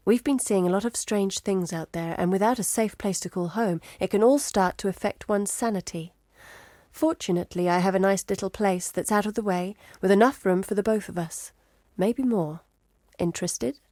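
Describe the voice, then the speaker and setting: Casting: actress as inquisitive young female scholar-explorer for a video game; earnest, measured, mid pitch, close dry recording. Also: a little mellow, dry recording, lightly acted. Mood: earnest, persuasive. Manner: articulate, measured, thoughtful. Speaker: game character, scholar and map researcher. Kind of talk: scripted video game dialogue.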